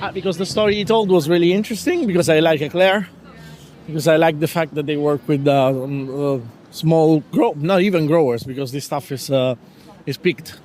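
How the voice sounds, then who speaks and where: A man's voice: fairly high pitch, moderate pace, a little mellow, slight background noise. A man, a magazine editor answering questions casually and hesitantly. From Italy. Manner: hesitant, many fillers and restarts. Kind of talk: casual interview.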